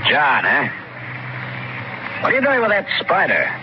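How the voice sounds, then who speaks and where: An actor playing a gruff, suspicious American prospector father with a curt, folksy way of talking, in an old-time radio drama. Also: on the high side, huge pitch swings, measured pace. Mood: hostile. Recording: muffled, noisy old radio recording.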